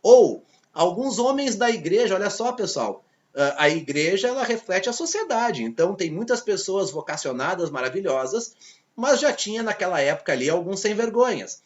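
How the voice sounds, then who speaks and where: Animated Brazilian male history teacher. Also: high-pitched, normal speed, muffled recording. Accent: Brazilian Portuguese. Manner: lively storytelling, acts out quoted characters. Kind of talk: video lesson.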